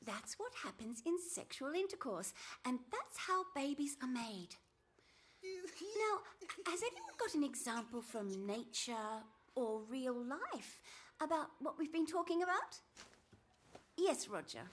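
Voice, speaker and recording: A prim, encouraging schoolteacher in a cartoon classroom, acted by a woman. The voice is very high and animated, as if leading young pupils through a lesson. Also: unhurried, a little hiss or hum. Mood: patient.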